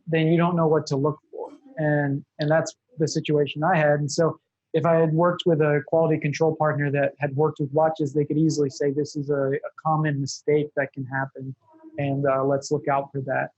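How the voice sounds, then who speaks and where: A man who is a guest, sharing lessons from building a product business. He speaks in a thoughtful, measured way and hedges with fillers. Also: a little high, a little mellow, no room sound, highs cut off. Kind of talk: casual interview talk.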